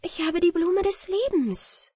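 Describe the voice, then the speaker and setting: Fairy-tale heroine: female reader as a plucky pleading girl, raised pitch, dry close sound. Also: lively intonation, a little slow, dark tone, dry recording. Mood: urgent pleading. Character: brave, pleading young girl.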